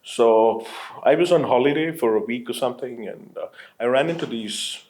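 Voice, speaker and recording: A man's voice: medium pitch, moderate pace, a little mellow, poor audio. A man in his late thirties from Qatar, a guest on an interview show, talking casually with lots of fillers, restarts and easy laughs. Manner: rambling, many restarts and fillers, laughs easily. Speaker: entrepreneur guest.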